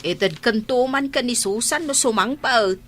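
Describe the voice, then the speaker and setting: An actress playing a friendly, talkative, opinionated older neighbour wife in an Ilocano radio drama, forthright and chatty. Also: female voice, on the high side, moderate pace, a bit bright, a little hiss or hum, close to an everyday voice. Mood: gossipy.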